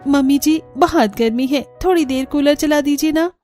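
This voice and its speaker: A woman's voice: sits high, moderate pace, a little mellow, voice pushed up, close to an everyday voice. A woman voicing a young newlywed daughter-in-law, polite but given to complaint, in a cartoon story. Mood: pleading. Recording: music under the voice.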